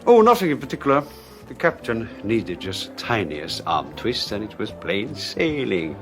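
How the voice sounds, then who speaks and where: An actor playing a suave, scheming husband in a 1980s radio drama, smooth, smug and mocking. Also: male voice, on the low side, very animated, normal speed, over music. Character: suave scheming male husband, middle-aged.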